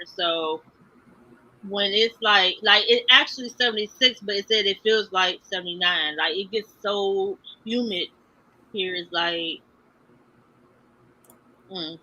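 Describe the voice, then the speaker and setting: A woman with a bright, husky voice, chatting loosely on a livestream. She circles back on her own words and breaks into quick laughs between lines. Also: mid-range voice, lo-fi sound. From Maryland. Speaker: co-host of a livestream. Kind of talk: casual livestream chat.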